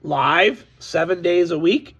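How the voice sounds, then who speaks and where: A hoarse man talking candidly to his viewers in a casual vlog, complaining about a deal and warning them. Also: on the high side, slow pace, a bit bright, muffled recording. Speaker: sports card seller. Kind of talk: casual direct-to-camera vlog.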